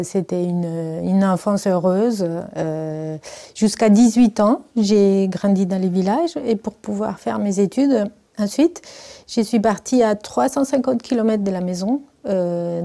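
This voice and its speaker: Middle-aged woman, researcher in a documentary interview, mellow and warm, pausing often as she speaks. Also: medium pitch, vocal fry. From Argentina. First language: Spanish. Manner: hesitant, many fillers, thoughtful. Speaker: research engineer. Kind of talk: documentary interview, personal story.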